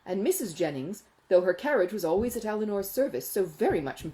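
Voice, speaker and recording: A woman reading a classic novel aloud as an audiobook, in an expressive literary narrating style. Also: medium pitch, fairly quick, low-quality recording. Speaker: audiobook reader.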